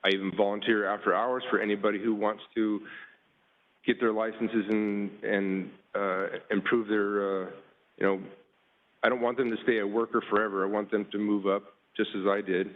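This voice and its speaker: Halting man on a tinny line. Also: on the low side, level tone. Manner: halting, many fillers. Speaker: panelist, water utility worker. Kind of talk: presentation with slides, casual.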